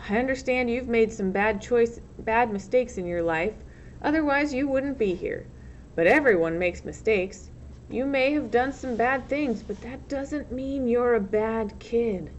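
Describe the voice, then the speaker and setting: A woman's voice: fairly high pitch, unhurried, close to an everyday voice. A woman voicing an earnest, patronizing, encouraging male camp counselor in a story reading. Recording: muffled lo-fi recording, loud background noise.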